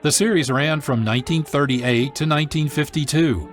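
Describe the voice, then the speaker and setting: A man hosting a radio program, reading scripted narration in a measured announcer's delivery. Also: on the high side. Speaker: radio host and producer. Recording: dry studio, music behind.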